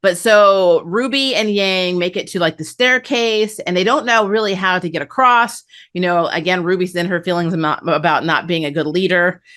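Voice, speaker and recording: A woman's voice: fairly low pitch, normal speed, few pauses, a bit bright. A woman hosting a review, recapping an episode in a chatty, conversational way with casual commentary. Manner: chatty, conversational, acts out characters' lines. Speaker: anime review channel host.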